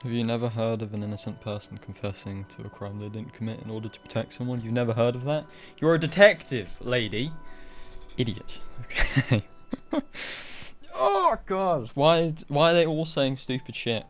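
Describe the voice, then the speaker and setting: A man's voice: mid-range voice, lively intonation, normal speed, faint music behind. A man giving casual live reaction commentary as a game streamer, blunt and sarcastic.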